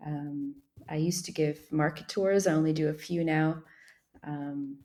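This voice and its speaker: A woman's voice: sits low, steady tone, a little slow, mellow. A woman from Montreal, a guest in an interview, answering in a chatty, self-deprecating way. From Montreal, Canada.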